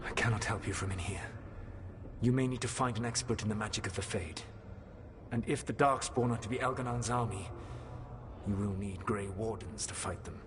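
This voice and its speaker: A man's voice: on the low side, noisy background, natural voice. A man playing a grave, formal elven god. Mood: measured, counselling. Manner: measured, formal, grave, deliberate. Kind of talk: video game cinematic acting.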